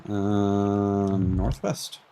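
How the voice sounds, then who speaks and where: A man's voice: on the low side, completely flat delivery, drawn-out words, mellow, slight background noise. A man doing casual live commentary as he streams a video game, musing to himself and often trailing off mid-thought. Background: game music.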